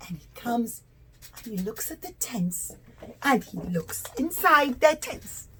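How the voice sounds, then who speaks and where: A woman's voice: on the high side, very animated, slow pace, fairly bright tone, a little hiss or hum. A woman telling a story to young children in simple, repetitive, emphatic phrases. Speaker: children's puppet show storyteller.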